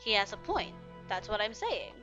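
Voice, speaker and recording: A woman's voice: medium pitch, sing-song, moderate pace, sharp tone, muffled recording, soft background music. A woman doing casual game playthrough commentary, chatting and musing aloud as she reacts to the characters. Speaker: game streamer.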